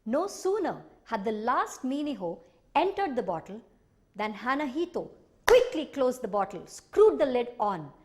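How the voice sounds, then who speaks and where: A woman narrating a children's folk tale expressively, with dramatic, deliberate phrasing and emphatic repetition. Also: fairly high pitch, very animated. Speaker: storyteller.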